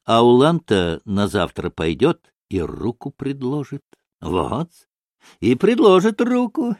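A man voicing an obsequious, giggly old provincial gentleman in a storybook, telling tales in drawn-out, servile phrases. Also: medium pitch, big pitch swings, dry recording, studio quality. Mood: sly, giggly.

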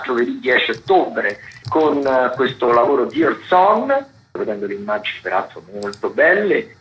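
A man presents theatre and dance listings on the radio in a semi-scripted style. He pauses and stretches words as he goes. The sound is echoey and slightly dull, at a medium pitch.

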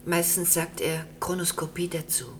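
A wistful middle-aged woman, played by an actress, with a fairly low voice and a gently persistent manner. The old radio play recording has clear noise behind her. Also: level tone, moderate pace, lightly acted. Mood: curious. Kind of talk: German radio drama acting.

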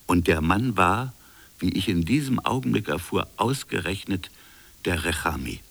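A man's voice: low voice, lively intonation, normal speed, gravelly, a bit bright, no echo, heavily acted. An actor playing a colonial official in a radio drama, recounting his own investigation in a brooding, insistent manner. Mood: intent, sober. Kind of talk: radio drama dialogue and first-person narration.

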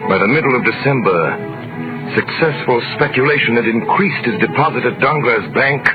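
An actor narrating as a storyteller in a scripted 1930s radio drama. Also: male voice, medium pitch, moderate pace, close to an everyday voice. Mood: measured storytelling. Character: male storyteller narrating a classic adventure tale. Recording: muffled vintage radio recording, music behind.

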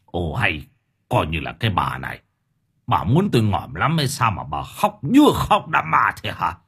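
A man voicing an irritable, domineering older village husband, gruff and cursing. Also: deep voice, wildly swooping pitch, normal speed, fairly bright tone, voice pushed down, heavily acted. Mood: angry. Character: bad-tempered bossy old village husband.

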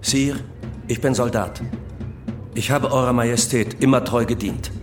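An actor playing an ambitious general in a radio drama, formal and deferential yet self-assured. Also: male voice, mid-range voice, steady tone, unhurried, over music, natural voice. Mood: deferential, assertive.

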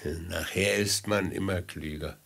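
An actor playing a chatty, amiable executioner with a chilling calm in a German radio play, unhurried and musing. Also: male voice, low-pitched, creaky, husky, exaggerated voice.

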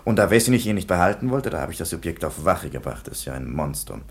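A weary police commissioner in a radio play, reporting in a dry, matter-of-fact way. His voice sits very low and grinds with gravel. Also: sing-song, fast. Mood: stern. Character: stern, tired police commissioner.

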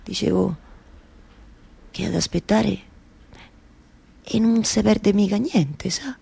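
An actress playing a stubbornly hopeful waiting wife in a theatrical monologue, with a colloquial Roman accent and insistent repeated phrases. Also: female voice, mid-range voice, slow pace, creaky, mellow, slightly rough, exaggerated voice. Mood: wistful, resigned. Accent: central Italian, Roman. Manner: incantatory, repeating phrases over and over.

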